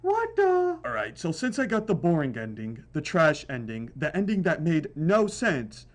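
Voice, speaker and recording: Young male gaming reactor, very lively pitch swings, slangy, repetitive, dramatic casual commentary. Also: moderate pace. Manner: slangy, dramatic, repeats himself.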